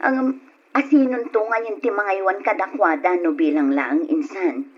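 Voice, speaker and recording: A woman acting a humble, long-suffering mother and struggling wife in an old radio drama, in a husky voice. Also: medium pitch, measured pace. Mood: downcast. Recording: dull lo-fi old radio recording.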